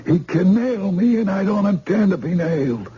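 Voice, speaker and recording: An actor playing a threatening gangster boss who gives clipped orders in an old-time radio drama. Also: male voice, sits high, lively intonation, moderate pace, mellow. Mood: menacing. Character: menacing male gangster boss giving orders. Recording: hissy, muffled old radio recording.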